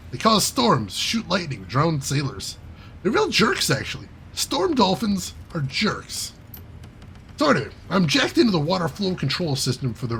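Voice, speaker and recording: A man's voice: fairly high pitch, creaky, a bit bright, slight background noise, exaggerated voice. A man reading aloud and voicing a wry male hacker character who tells a self-deprecating heist story in a chatty way.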